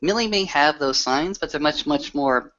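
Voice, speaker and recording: A man narrating as game master in a casual, halting way, searching for his descriptions. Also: a little high, a bit bright. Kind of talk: tabletop roleplay narration, casual. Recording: muffled, lo-fi online voice call.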